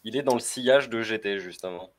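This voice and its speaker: A man's voice: on the low side, fairly quick. A man on a card game panel, chatting casually and handing down confident verdicts. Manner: confident, sums up verdicts plainly. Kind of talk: casual strategy discussion.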